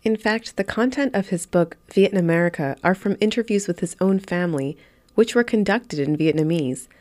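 A woman interviewer reading scripted narration in a measured, composed way. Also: medium pitch, darkish tone.

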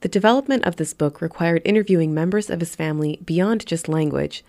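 A woman interviewer reading scripted narration in a measured, composed way. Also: fairly low pitch, darkish tone.

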